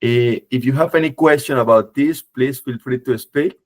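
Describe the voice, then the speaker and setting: A man presenting a webinar, his voice a bit low and tired from travel, speaking in non-native phrasing with frequent fillers and tag questions. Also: moderate pace. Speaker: company head presenting a webinar. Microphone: video call.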